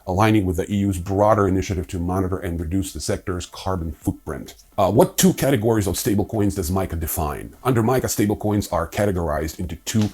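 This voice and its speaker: A man hosting a crypto news explainer, reading scripted material with informal, conversational asides in a husky voice. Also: low-pitched, darkish tone. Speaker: host of a crypto news video.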